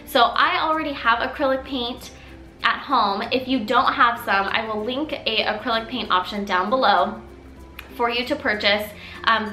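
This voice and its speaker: Chatty young mom doing a diy tutorial, kinda scratchy throat, high-ish voice, faint music under it. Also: female voice, bright, forceful tone, roomy sound. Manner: upbeat, chatty, encouraging. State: slightly scratchy from a cold. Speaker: mom vlogger. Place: living room at home.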